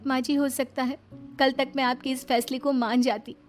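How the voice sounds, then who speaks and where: A woman acts out an indignant young wife in a cartoon drama, pitched high and emphatic. She pleads her case, with faint music behind. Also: level tone, moderate pace, lightly acted. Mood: tearful, earnest. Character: indignant newlywed young daughter-in-law, educated and wronged. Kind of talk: cartoon story dialogue.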